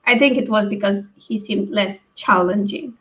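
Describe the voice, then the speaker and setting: A woman hosting a casual book discussion over a video call, thinking aloud in halting phrases. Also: mid-range voice. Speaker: book read-along live stream host. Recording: dull, lo-fi stream audio.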